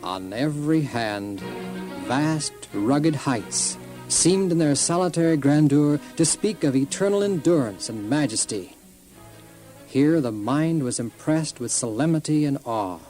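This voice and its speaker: Man, solemn narrator, stately delivery, slightly high voice, music behind. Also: expressive, a little slow, dark tone. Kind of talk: solemn scripted storybook narration.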